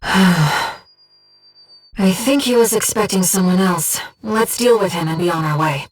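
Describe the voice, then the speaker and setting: A woman voicing a gentle, disembodied young female companion in a video game, giving a mercenary pilot composed, earnest and precise tactical reports in a soft, breathy voice. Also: fairly low pitch, unhurried, far from the mic, faint music behind. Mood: troubled, solemn. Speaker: mission operator companion.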